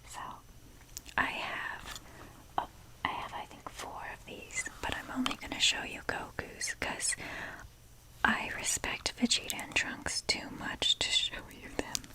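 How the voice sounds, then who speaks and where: Whispering woman, slow and rambling. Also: poor audio. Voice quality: soft breathy whisper. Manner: rambling, hesitant, self-deprecating humour. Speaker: ASMR video creator showing a toy collection. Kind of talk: ASMR, casual rambling show-and-tell.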